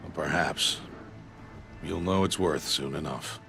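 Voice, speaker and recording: A man playing a stern, stoic middle-aged hunter and mentor in a video game, speaking in a grave, measured, solemn way. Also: creaky, bright, forceful tone, heavily acted. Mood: grave, restrained. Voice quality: very deep, weighty. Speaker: mentor and guardian. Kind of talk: dramatic video game acting. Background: prominent background music.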